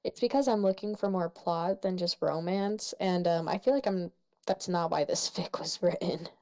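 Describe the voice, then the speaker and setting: A woman in casual conversation as a co-host, giving measured critique and explaining her reasoning somewhat apologetically. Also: fairly low pitch, a little mellow. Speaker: co-host critiquing fan fiction.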